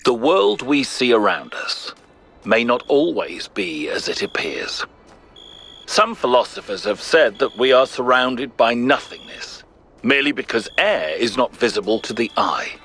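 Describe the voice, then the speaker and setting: An actor playing a thoughtful, principled ancient Greek philosopher in a video game, giving a grave, measured, rhetorical monologue. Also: male voice, mid-range voice, sing-song, pushed and bright, heavily acted. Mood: contemplative. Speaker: narrator of philosophical audio logs. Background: music.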